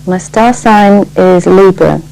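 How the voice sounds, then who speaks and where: A middle-aged Englishwoman with a gravelly creak and a soft, dark tone. She introduces herself simply, with slight hum in the background. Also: lowish voice, takes it slowly, rough recording. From Lancashire, England. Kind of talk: self-introduction. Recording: faint background noise and hum.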